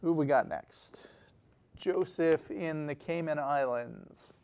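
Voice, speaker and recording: A male call-in show host with a smooth, resonant voice pitched a little high. He reads announcements plainly and conversationally before taking the next caller. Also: animated, takes it slowly, a little mellow.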